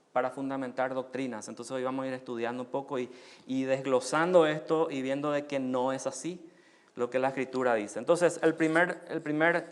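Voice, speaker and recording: A man from Paraguay with a Paraguayan Spanish accent, preaching a sermon as pastor, asking rhetorical questions and rising loud on key phrases. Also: medium pitch.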